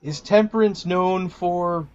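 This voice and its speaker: A man's voice: sits high, takes it slowly, darkish tone, muffled recording. A man playing a tabletop roleplaying game, switching between casual table talk and in-character speech.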